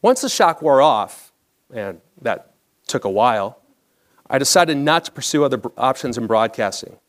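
An American man in his forties reads a scripted personal story on stage in a measured, polished broadcaster-like delivery. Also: mid-range voice, very animated. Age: 40s. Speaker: storyteller, former baseball broadcaster. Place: theatre stage, indoors, at a lectern.

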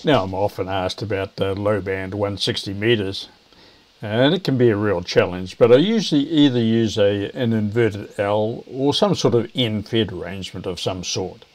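An older man, explaining things to camera in a measured, hesitant way. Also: on the low side. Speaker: video presenter, ham radio operator.

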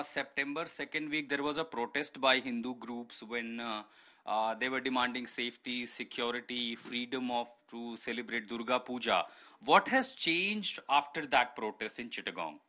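A man's voice: mid-range voice, unhurried, sharp tone. A man conducting a live TV news interview, asking probing questions with occasional fillers.

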